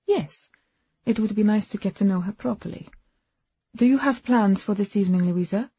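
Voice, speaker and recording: A woman's voice: mid-range voice, normal speed, mellow, husky, dry recording, highs cut off, pitched above the performer's own voice. A woman voicing a haughty, entitled rich daughter in an audiobook, with a condescending, coolly snide manner. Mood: falsely friendly.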